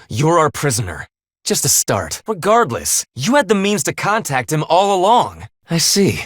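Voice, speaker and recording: A man's voice: fairly high pitch, no echo, clean studio sound. A man voicing a stern, blunt young half-human leader in a video game, speaking in a clipped, formal, commanding way. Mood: accusing, suspicious. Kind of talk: English video game voice acting.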